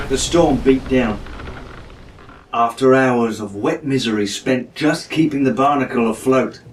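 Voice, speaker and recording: A man's voice: medium pitch, distant mic, a little hiss or hum, poor audio. A man reading an adventure story aloud as a storyteller, in dramatic scripted audiobook narration.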